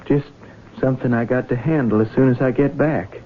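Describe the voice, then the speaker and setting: An actor playing an earnest, troubled younger brother from a farm in a 1970s radio drama. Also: male voice, medium pitch, steady tone, brisk, slight background noise, close to an everyday voice. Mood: uneasy. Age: young adult. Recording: phone-like old radio broadcast, music behind.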